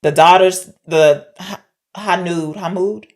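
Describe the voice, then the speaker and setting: A woman's voice: on the low side, fairly even intonation, takes it slowly. A woman co-hosting a podcast, talking informally as she retells and discusses a film. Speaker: podcast co-host.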